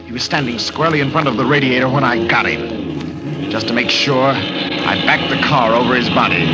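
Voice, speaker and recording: Actor as deep-voiced guilty killer confessing, old radio horror. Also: male voice, natural voice. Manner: terse, confessional, edgy. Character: nervous, guilty murderer narrating his crime. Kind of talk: 1940s radio horror acting. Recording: dull vintage radio recording with loud music.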